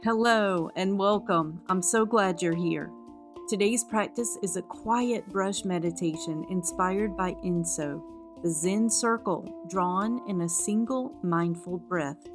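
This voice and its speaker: Slow, calm woman guiding a meditation. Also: fairly low pitch. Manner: calm, measured, soothing. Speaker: meditation guide. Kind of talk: guided meditation narration, scripted. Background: instrumental music.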